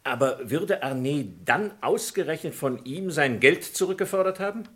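An actor playing a persistent, polite French investigator in a German radio crime drama, reasoning in a formal, probing way. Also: male voice, fairly high pitch, animated, moderate pace, a bit bright. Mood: probing, courteous.

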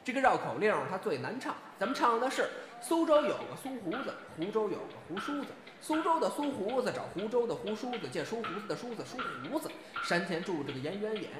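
A woman's voice: mid-range voice, reverberant, close to an everyday voice. A woman playing an eager, cheeky young crosstalk apprentice in an audio drama.

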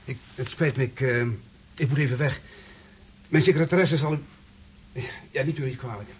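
A man's voice: medium pitch, moderate pace, a little hiss or hum, lightly acted. An actor playing a flustered, uneasy businessman husband in a radio drama, hesitant in his delivery.

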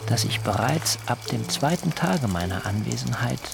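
A man playing an earnest, shy young idealist and sun worshipper in a German radio drama, speaking in formal, high-flown phrases. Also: expressive, normal speed, creaky, noisy background. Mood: fervent. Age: young adult.

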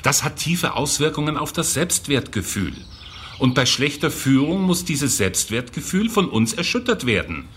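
An actor playing a cynical, callous youth prison director in a German radio drama, condescending and bureaucratic. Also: male voice, fairly high pitch, sing-song, moderate pace, a bit bright, a little hiss or hum. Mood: cold, defensive.

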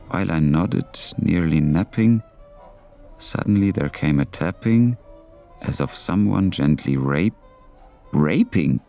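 An actor playing a wry, dryly ironic British wartime intelligence officer in a radio drama. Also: male voice, sits low, creaky, mellow, exaggerated voice.

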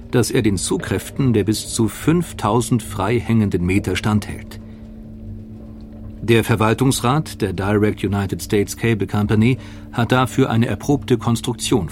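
A man reading a scripted documentary narration in a measured, factual way. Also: lowish voice, darkish tone, slight background noise.

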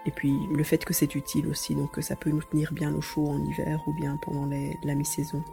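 A woman's voice: low-pitched, monotone, fairly quick, gravelly, music in the background. Woman, interview guest, casual conversational answers.

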